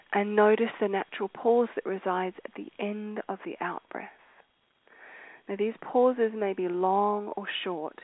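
Slow, soft-spoken woman guiding a meditation. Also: medium pitch, dry recording. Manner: slow, soft and soothing, drifting into whispers. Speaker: meditation guide. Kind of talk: guided meditation. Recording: dry, tinny phone-like sound.

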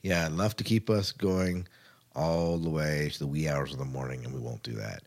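A man's voice: very deep voice, normal speed, gravelly. A man co-hosting a podcast in a casual, informal way, often rephrasing himself as he chats. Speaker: podcast co-host.

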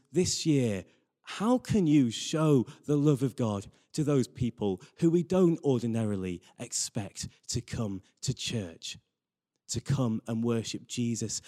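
A man's voice: mid-range voice, warm tone. A man preaching a sermon in an earnest manner, stressing his points with repeated phrases. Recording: reverberant hall.

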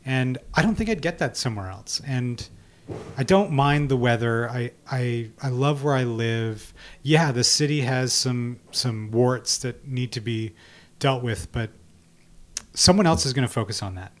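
A man's voice: mid-range voice, a little slow. A man co-hosting a podcast, chatting casually in an interview. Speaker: podcast co-host.

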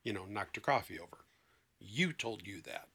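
A man's voice: on the low side, lively intonation, moderate pace, creaky, a bit bright, breathy edge. A man talking as a therapist guest in a conversation, explaining things emphatically with illustrative examples.

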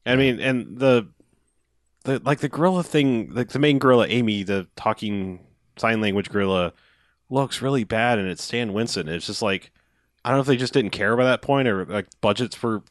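A man's voice: medium pitch, normal speed, no room sound, clean studio sound. A man hosting a casual movie podcast, rambling with lots of fillers and swearing.

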